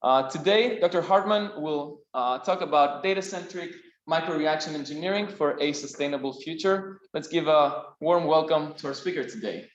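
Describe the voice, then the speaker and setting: A man with a Greek accent and a fairly high voice introduces a speaker at a seminar. He halts often and fills pauses with ums. The room is echoey and the sound is dull. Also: a little hiss or hum. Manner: halting, many fillers. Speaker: seminar host. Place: lecture room.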